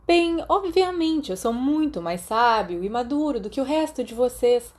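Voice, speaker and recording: Woman voicing a cocky, excitable teenage boy wizard. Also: high voice, moderate pace, voice pushed up. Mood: cocky, cheerful. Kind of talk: audiobook character dialogue.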